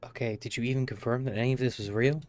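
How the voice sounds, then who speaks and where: A man's voice: medium pitch, steady tone, rapid-fire. A man livestreaming a game, giving casual, skeptical commentary and arguing back at the story. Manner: argumentative, skeptical.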